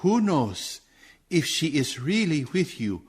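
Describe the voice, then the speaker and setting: An actor playing an earnest, urgent doctor who leads a vampire hunt in a radio play, grave and deliberate. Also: male voice, on the high side, sing-song, slightly rough, heavily acted. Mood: brooding, calculating.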